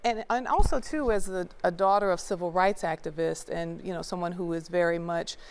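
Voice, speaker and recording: A woman's voice: on the low side, a little fast, clear, resonant tone. A woman from Memphis speaking as a panel guest, reflective with many fillers. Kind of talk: TV panel discussion.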